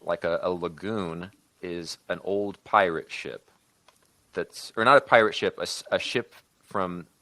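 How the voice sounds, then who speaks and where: Male podcast co-host, low voice, dry close sound, pitches stories informally with frequent rewording. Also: sharp tone, dry recording. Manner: halting, often backtracking and rewording mid-sentence. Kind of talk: casual creative discussion, improvised story pitch.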